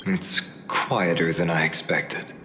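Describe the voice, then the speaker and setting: A man's voice: low-pitched, level tone, takes it slowly, noisy background. A man voicing an earnest, easygoing young warrior hero in a video game, sincere and lightly teasing. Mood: reflective. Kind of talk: video game character acting. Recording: long reverb, music behind the voice.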